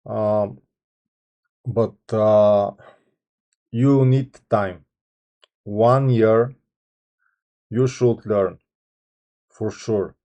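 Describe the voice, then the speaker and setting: A man in his thirties with a Bulgarian accent, a software engineer talking to camera without a script, hesitant, with many ums and restarts. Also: fairly low pitch, very slow, long pauses. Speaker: software engineer channel host. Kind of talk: unscripted talk to camera.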